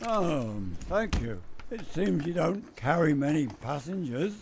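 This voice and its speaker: Wry secret agent man acting, lively intonation, fairly high, faint music. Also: slow, slight background noise, exaggerated voice. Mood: cool. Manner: cool, wry. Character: cool young male British secret agent, wry. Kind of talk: amateur radio drama acting.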